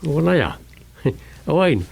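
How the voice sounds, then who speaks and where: Gossipy ilocano councilor in a radio comedy, kinda high creaky voice, chatty. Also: male voice, very animated, takes it slowly, darkish tone, heavily acted. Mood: chatty, bantering. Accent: Filipino, Ilocano. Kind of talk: comic radio drama dialogue.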